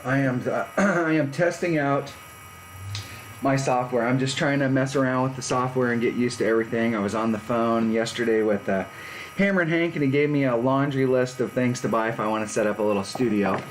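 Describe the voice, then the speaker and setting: A man's voice: mid-range voice, fairly quick, a little hiss or hum, poor audio. A man in his fifties chatting casually and rambling on a live stream from his garage. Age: 50s. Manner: rambling, laid-back, self-deprecating. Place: home garage.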